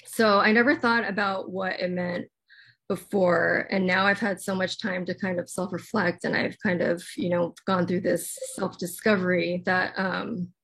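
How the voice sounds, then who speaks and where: A young American woman answering interview questions about herself, halting and full of fillers and restarts. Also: on the low side, level tone, gravelly, a bit bright, husky. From United States. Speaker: guest.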